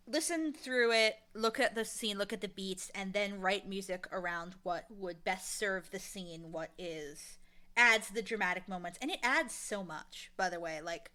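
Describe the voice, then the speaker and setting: Bright, forceful-voiced woman being interviewed. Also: medium pitch. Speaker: interview guest, audio drama creator and casting director. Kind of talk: casual interview chat.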